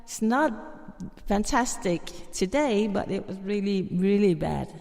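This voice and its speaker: A woman with a Danish accent tells her story in a loose, chatty way, full of fillers and quick laughs. Her voice is mellow and warm. The sound carries a long, trailing reverb. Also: medium pitch, animated, vocal fry. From Denmark. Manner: chatty, laughs often, many fillers and restarts. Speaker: guest performer telling her story. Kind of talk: casual storytelling.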